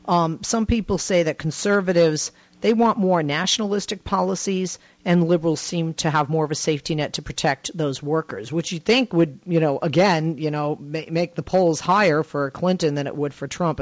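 Halting woman radio host. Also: deep voice, dry recording, lo-fi sound. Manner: hesitant, halting questions with many fillers. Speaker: radio talk show host. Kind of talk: radio talk show hosting.

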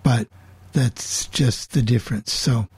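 A man hosting a casual radio panel discussion, talking in a halting, repetitive way. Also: medium pitch, steady tone, darkish tone, breathy edge, no room sound. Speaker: host of an online radio coffee club show.

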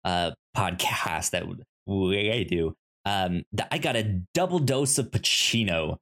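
A man co-hosting a podcast, discussing films casually in a halting, stammering way and often repeating words. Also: sits low, lively intonation, fairly bright tone. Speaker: podcast co-host.

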